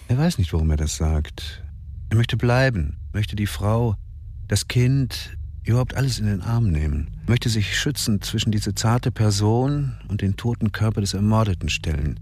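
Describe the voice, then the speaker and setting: A man narrating a radio crime drama in a measured storytelling voice. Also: on the low side, expressive, a little mellow, a little hiss or hum. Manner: measured, present-tense storytelling.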